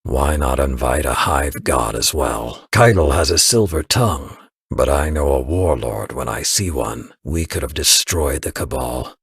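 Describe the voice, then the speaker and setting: A man playing a stern, embittered old war veteran and mentor in a video game, grave and reproachful, with a gravelly, husky voice. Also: extremely low pitch, measured pace, a bit bright, dry recording, clean studio sound, exaggerated voice. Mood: bitter reproach. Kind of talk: dramatic video game voice acting.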